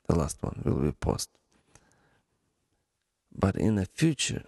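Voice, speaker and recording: A man with a Ukrainian accent hosting a solo podcast, speaking in a deliberate, halting way with pauses. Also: sits low, gravelly, dark tone, husky, no room sound, clean studio sound. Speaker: podcast host.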